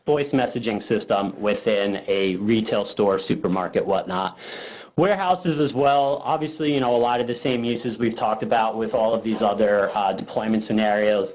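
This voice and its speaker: A man presenting a product webinar casually, informal and thinking aloud in a hesitant way. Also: on the low side. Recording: phone-like narrow-band webinar audio.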